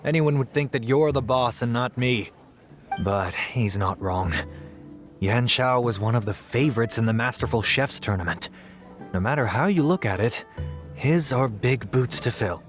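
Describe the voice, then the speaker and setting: An actor playing a kindly, gently firm innkeeper in a video game, speaking in a measured, polite, reassuring way. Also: male voice, mid-range voice, animated, natural voice. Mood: wry, thoughtful. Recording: music playing behind the voice.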